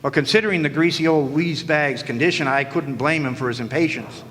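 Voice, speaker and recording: A man's voice: a little high, brisk, a bit bright, close to an everyday voice. A man playing a wisecracking hard-boiled private detective in a radio play, with a theatrical, sardonic delivery. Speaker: narrator and lead actor in radio play. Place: live radio play in a hall. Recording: live stage, long hall reverb.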